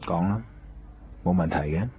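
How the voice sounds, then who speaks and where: An actor with a Hong Kong accent playing a cocky, streetwise hustler and loyal friend in a radio drama. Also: male voice, sits low, normal speed, slightly rough. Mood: cocky, obliging. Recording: dull 1990s radio recording, faint music.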